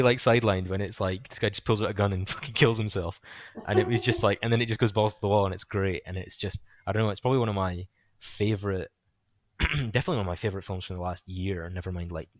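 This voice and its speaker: Young podcast host, deep, slightly hoarse, loose rambling delivery, dry close sound. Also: male voice, no echo. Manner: rambling, filler-heavy, swears casually. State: voice getting hoarse. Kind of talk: casual film chat.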